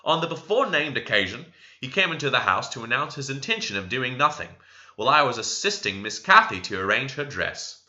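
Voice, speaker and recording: A man's voice: medium pitch, big pitch swings, fairly quick, bright, forceful tone, muffled recording. A man reads a classic novel aloud as an amateur narrator, expressively but with the occasional stumble. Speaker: amateur audiobook reader.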